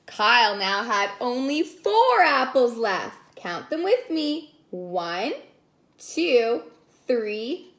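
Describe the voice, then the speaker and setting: A woman reading stories aloud to young children in an expressive, sing-song way, prompting them as she goes. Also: on the high side, drawn-out words. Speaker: children's story reader and caregiver.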